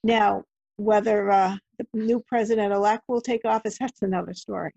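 Woman, interview host, mid pitch, creaky, conversational, muffled lo-fi audio. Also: fairly even intonation, normal speed, warm tone, no room sound.

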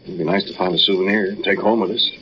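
A man's voice: deep voice, steady tone, fast, bright, forceful tone. An actor playing a curious, cautious young man, musing casually in a 1940s radio drama. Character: curious, cautious young male travelling companion and storyteller. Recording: muffled, noisy 1940s radio recording.

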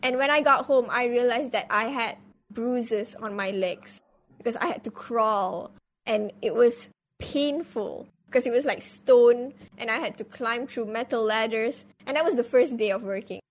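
Woman, young adult, high-pitched, casual storyteller, swears freely. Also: normal speed. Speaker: guest on a live stream.

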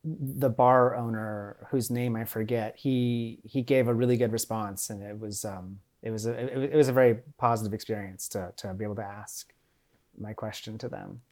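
A man being interviewed on a podcast, speaking in a relaxed way with frequent stuttered repetitions and fillers. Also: on the low side, normal speed. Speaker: podcast guest, teacher. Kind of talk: casual interview.

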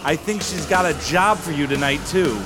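A man's voice: a little high, moderate pace, over music, lightly acted. An actor playing a taunting, cocky vampire gang henchman in an audio drama. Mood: teasing, casual. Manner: teasing, cocky.

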